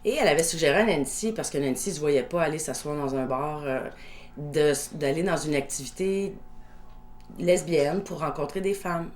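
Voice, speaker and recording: Québécoise, 50s, low voice, reflective storyteller, light noise floor. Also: female voice, normal speed, a little mellow. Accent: Québécois French. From Quebec. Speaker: documentary interviewee. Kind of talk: personal storytelling interview.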